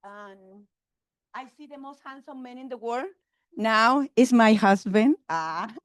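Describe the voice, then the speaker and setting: Brief: middle-aged Chilean woman, Spanish accent, halting English, somewhat high voice, dry sound. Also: no room sound. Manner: halting broken English, chatty, laughs often. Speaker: vendor presenting her business. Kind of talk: informal pitch to a meeting.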